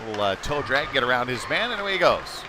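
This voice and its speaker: A man commentates live on an ice hockey game in a medium-pitched voice. He talks in short, conversational fragments that follow the play. The noise of the rink is clearly audible around him. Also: big pitch swings, fast talker. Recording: noisy live rink broadcast.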